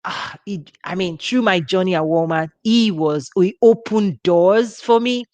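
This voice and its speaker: A woman's voice: fairly low pitch, measured pace, darkish tone, dry recording. A woman with a Nigerian accent, giving an informal teaching talk for her ministry in a chatty way with frequent restarts. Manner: conversational, with frequent restarts and laughs. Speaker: ministry host.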